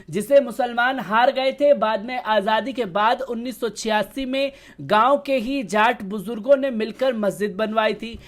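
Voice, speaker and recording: Lady reading a news report, voice on the high side. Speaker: news presenter. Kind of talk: news report narration and commentary.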